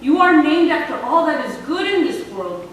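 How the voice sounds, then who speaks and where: A woman playing a wise, steadfast Mexican American grandmother in a staged reading, speaking in measured, earnest English mixed with Spanish. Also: high-pitched, sing-song. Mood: consoling, steadfast. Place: theater stage, recorded from the audience. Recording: echoey hall from far off, faint noise.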